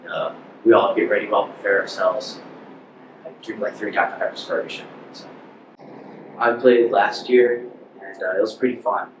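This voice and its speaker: A teenage boy with a low voice answers casually, with lots of pauses and fillers. He sits back from the mic in an echoey space with clear background noise. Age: teens. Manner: relaxed, hesitant, filler-heavy. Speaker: student musician. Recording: roomy sound from a distance with noise.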